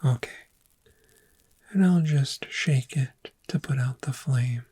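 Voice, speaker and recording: A woman playing a gentle, patient dragon therapist in a soft-spoken ASMR roleplay, with a breathy, whispery, measured and reassuring delivery. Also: rumbling low, exaggerated voice. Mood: soothing, encouraging.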